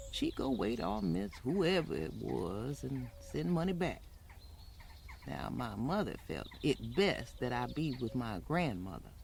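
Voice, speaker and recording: An actress plays an elderly Southern woman recalling her life in a radio-style drama. Her voice swings widely in pitch, with a gravelly creak. She is folksy and musing, over faint noise with birdsong. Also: a little mellow, heavily acted. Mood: musing, wry. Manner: halting, folksy, colloquial, musing. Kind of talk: old-time radio style dramatized first-person storytelling.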